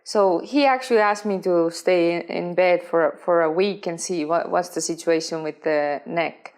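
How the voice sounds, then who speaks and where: A woman's voice: on the low side, a little slow, a little mellow. A woman from Estonia, a professional swimmer, speaking earnestly in a conversational interview with non-native phrasing and frequent restarts.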